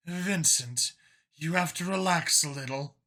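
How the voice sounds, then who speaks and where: A man voicing an easygoing, deferential female alien officer with a mild, unhurried manner in an audiobook. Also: on the high side, bright, forceful tone. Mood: mild, resigned. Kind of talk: audiobook character dialogue.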